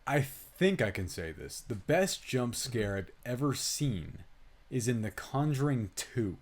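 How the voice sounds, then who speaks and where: A man's voice: medium pitch, very animated, measured pace. A man co-hosting a film review podcast in casual chat, opinionated, swearing freely and acting out reactions as he goes. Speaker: podcast co-host reviewing films.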